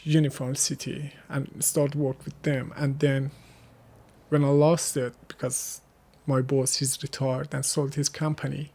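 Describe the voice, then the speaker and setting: A man from Afghanistan with an Afghan accent and Hazaragi as his first language, answering casually in halting, searching English. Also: medium pitch, little pitch movement, a little mellow. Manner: halting, searching for words in non-native English. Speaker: interviewee. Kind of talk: casual interview conversation.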